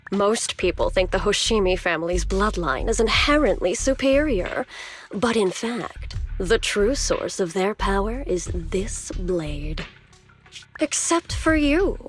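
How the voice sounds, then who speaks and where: A woman's voice: mid-range voice, natural voice. A woman playing a smug, scheming villainess in a video game, theatrical and taunting, with cool menace. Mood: reverent, taunting. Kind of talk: theatrical scripted game dialogue.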